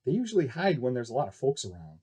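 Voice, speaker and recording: Bold young female aviator, read by a man: brisk, plain-spoken, lively swings, faintly husky, audiobook style. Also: medium pitch. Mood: friendly, confiding. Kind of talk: audiobook character dialogue.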